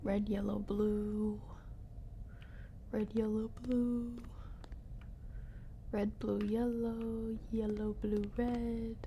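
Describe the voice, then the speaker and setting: Young female game streamer. Relaxed, slow delivery, soft breathy dips, playful self-directed rambling, casual live chat. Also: medium pitch, slight background noise. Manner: playful, rambling, murmuring to herself. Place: her room.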